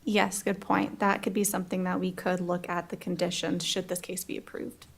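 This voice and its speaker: A woman's voice: fairly low pitch, flat delivery, moderate pace, gravelly. A woman on county staff giving matter-of-fact answers to board questions at a public hearing. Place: county board meeting room.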